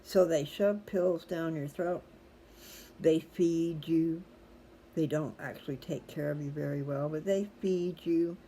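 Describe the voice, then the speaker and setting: Mellow, folksy rambler with background noise. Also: medium pitch, fairly even intonation, unhurried. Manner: chatty, folksy, digressive. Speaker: host reading scripture aloud for viewers. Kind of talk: casual rambling personal talk to viewers.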